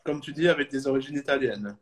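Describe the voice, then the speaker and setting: Man in his thirties from Belgium; halting, filler-heavy, mid pitch. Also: a crowd in the background. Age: 30s. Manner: hesitant, many fillers and restarts. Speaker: supporters club guest. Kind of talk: casual video call interview.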